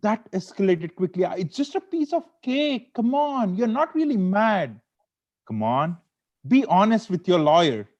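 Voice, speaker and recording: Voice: mid-range voice, sing-song, measured pace, darkish tone, muffled recording. A performer in an amateur table read playing a stressed, sweet-toothed lawyer husband who bickers, wheedles and pleads like he is in court. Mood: coaxing. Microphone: video call.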